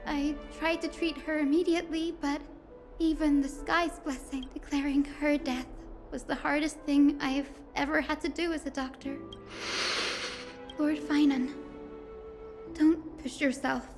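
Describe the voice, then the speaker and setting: An actress playing a gentle, caring young female healer in a fantasy game, soft-spoken and earnest. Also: high-pitched, flat delivery, unhurried, faint music behind. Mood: sorrowful, concerned. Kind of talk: dramatic video game voice acting.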